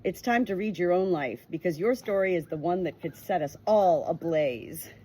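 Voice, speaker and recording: A woman with a somewhat low, breathy voice reads a book aloud. Her delivery is earnest and emphatic. Now and then she steps out of the text to share casual thoughts of her own. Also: normal speed, mellow. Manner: earnest, emphatic reading that breaks into chatty asides. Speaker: audiobook reader. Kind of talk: reading a book aloud with personal asides.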